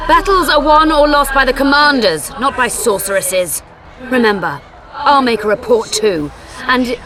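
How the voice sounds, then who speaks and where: An actress playing a proud, defiant court sorceress in a video game, with a cool, imperious manner. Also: female voice, sits high, expressive, moderate pace, a crowd in the background. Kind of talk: dramatic video game acting.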